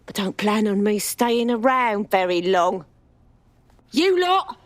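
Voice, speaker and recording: A woman's voice: mid-range voice, sing-song, slow pace, no echo. An actress playing a vicious, defiant female crime boss in a video game, with a British accent and a coarse, taunting manner. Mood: defiant, scornful.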